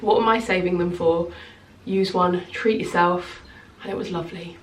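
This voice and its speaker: A woman's voice: lowish voice, fairly even intonation, normal speed. A woman in her thirties chatting to camera in a casual vlog, sounding slightly nasal from a cold. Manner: chatty, gestures with hands while talking. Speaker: skincare consultant vlogger. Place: small bedroom at home, indoors. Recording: roomy echo, slightly distant mic.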